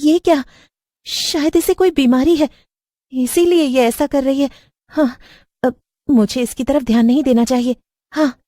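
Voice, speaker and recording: A woman's voice: high-pitched, a little slow, mellow, no echo, studio quality, pitched above the performer's own voice. A woman voicing an anxious, pleading young woman in a horror cartoon story, prone to stammering and repeating her words. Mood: unsettled.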